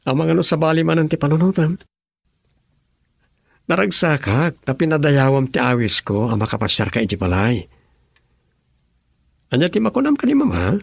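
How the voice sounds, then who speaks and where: An actor playing a tender, earnest young suitor with a husky voice and an Ilocano Filipino accent in a radio drama. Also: male voice, a little high, sing-song, normal speed, long pauses, no echo, full character voice. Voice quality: husky, creaky.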